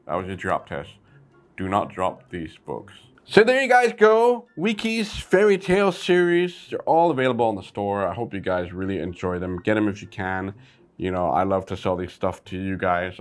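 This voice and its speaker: A man hosting a casual toy review vlog, chatty and easygoing, with sudden bursts of excitement. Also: deep voice.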